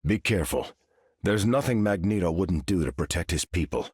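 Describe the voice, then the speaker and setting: An actor playing a grave, protective mutant leader in a video game, with a measured, serious manner. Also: male voice, low-pitched, lively intonation, darkish tone, breathy edge, dry recording, clean studio sound.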